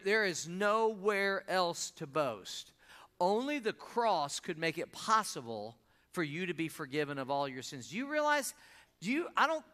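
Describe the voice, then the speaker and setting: A pastor, preaching a sermon and drawing answers from the congregation. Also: male voice, sits high, sharp tone, clean tone. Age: 50s. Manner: call-and-response preaching, asks the congregation to answer.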